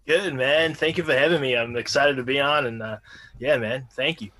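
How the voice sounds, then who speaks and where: A man in his thirties with a New Zealand accent talks casually as a guest from home. He is easygoing, laughs often and calls people "man" and "dude". His voice sits in an ordinary middle pitch. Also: normal speed, sharp tone, faint music behind. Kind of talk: casual interview chat.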